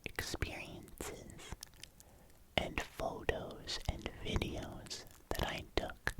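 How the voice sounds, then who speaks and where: A young adult ASMR creator whispering a rambling voiceover into a binaural mic, congested, with clicky mouth sounds and many fillers. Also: low-quality recording. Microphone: binaural microphone.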